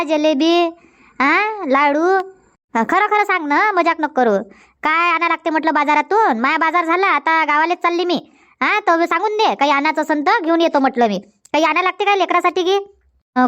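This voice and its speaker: A woman's voice: sits high, a bit bright. A woman voicing a chatty, bossy but good-natured village housewife in a dubbed comedy cartoon, speaking colloquial, repetitive Marathi with a rural Vidarbha accent. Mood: teasing, inquiring.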